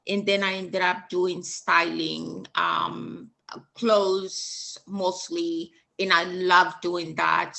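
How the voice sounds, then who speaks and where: A woman's voice: lowish voice, vocal fry, bright, forceful tone. A woman from Honduras, a fashion student, telling her personal story with many hesitant fillers.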